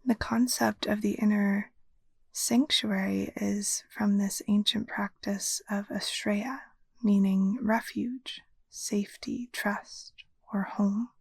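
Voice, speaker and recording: Slow, breathy woman guiding meditation. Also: mid-range voice, fairly even intonation, no echo. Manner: soft, breathy near-whisper, soothing. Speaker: yoga nidra meditation teacher. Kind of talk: guided meditation narration.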